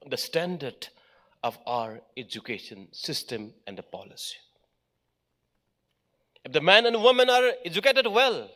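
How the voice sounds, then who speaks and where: Animated man giving a speech. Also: mid-range voice. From Meghalaya, India. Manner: emphatic, lists points in short phrases. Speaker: official speaker at an education event. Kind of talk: formal speech to an audience.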